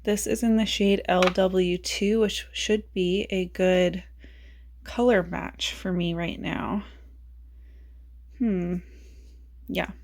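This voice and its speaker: A woman beauty vlogger chatting casually about her makeup, raspy as she loses her voice and thinks aloud in a self-mocking way. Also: medium pitch, a little mellow.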